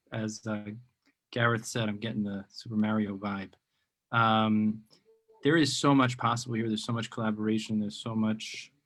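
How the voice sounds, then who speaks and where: A man's voice: lowish voice, fairly bright tone. A man hosting a live-stream interview, enthusiastic and rambling, with many ums and uhs. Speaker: host of a live video interview show.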